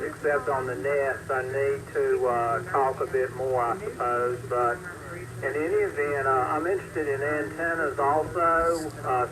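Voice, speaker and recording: Male radio operator, slightly higher voice, halting casual delivery, clearly noisy two-way transmission. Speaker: ham radio operator checking in. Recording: noisy ham radio repeater signal.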